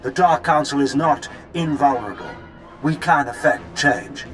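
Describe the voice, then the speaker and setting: An actor playing a defiant revolutionary leader, delivering a solemn, measured political manifesto in a sci-fi game. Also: male voice, on the high side, a bit bright, soft background music, natural voice. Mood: defiant, resolute. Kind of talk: dramatic political speech, video game acting.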